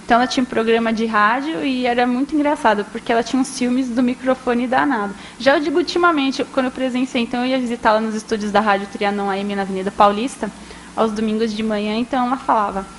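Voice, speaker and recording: A woman's voice: on the high side, quick pace, slight background noise, rough recording. Chatty young woman. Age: young adult. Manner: chatty, frequent tag questions, quotes people in lively voices. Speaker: guest speaker, journalist and biographer. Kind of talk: casual storytelling talk. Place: indoor talk at an archive.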